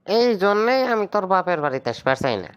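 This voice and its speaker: A man's voice: high-pitched, big pitch swings, fast talker, a bit bright, clear, resonant tone. A man playing an entitled young village son-in-law in a comedy cartoon, with a Sirajganj Bangladeshi accent and a complaining, sarcastic way of talking. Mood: indignant.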